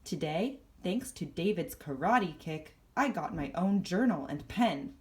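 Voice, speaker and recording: Woman reading a picture book out loud, nice and slow, really expressive pitch. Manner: deliberate, expressive. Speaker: storybook reader. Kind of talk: expressive children's read-aloud narration.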